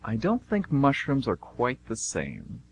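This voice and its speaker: A man's voice: mid-range voice, wildly swooping pitch, slow, a little hiss or hum, exaggerated voice. A man playing a casual but guarded man in his twenties in an amateur radio play.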